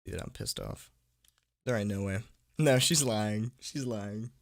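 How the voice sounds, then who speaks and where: A man with a fairly low voice chats loosely on his livestream. He sounds tired and under the weather with a sore throat, and talks in relaxed slang, dropping "dude" and "man" often. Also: a little slow, vocal fry. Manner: informal slangy young-streamer talk with frequent "dude" and "man". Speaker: livestream host and horror story narrator. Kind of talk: casual livestream chat, reading and answering viewers.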